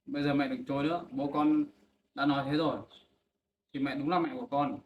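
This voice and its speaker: A man's voice: on the high side, fairly even intonation, brisk, slight background noise, lightly acted. Man as son reunited with his mother, earnest and pleading, dull echoey take. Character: grown son who finally finds his mother.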